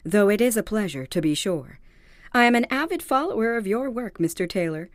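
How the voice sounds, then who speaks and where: A woman's voice: medium pitch, very animated, fairly quick, no echo, studio quality, close to an everyday voice. A woman acting in a radio-style mystery drama as a polite, anxious store heiress, speaking in a formal, earnest, dramatic way. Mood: polite, admiring. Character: polite, anxious female department store heiress seeking help. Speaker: actor in an audio drama.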